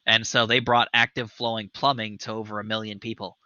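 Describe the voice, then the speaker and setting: A man in his thirties hosts a casual livestream and rambles with eager enthusiasm. His voice is very bright and forward. The audio is dry and a little dull, like a webcam mic. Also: fairly low pitch, flat delivery, brisk, no echo. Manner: enthusiastic, rambling, swears for emphasis. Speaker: show host.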